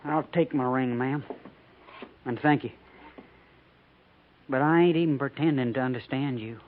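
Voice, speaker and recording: An actor playing a suspicious, jumpy young American frontier soldier with rustic speech in a 1950s radio drama. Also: male voice, medium pitch, a little slow, lightly acted. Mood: bewildered. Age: young adult. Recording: muffled, thin 1950s radio recording.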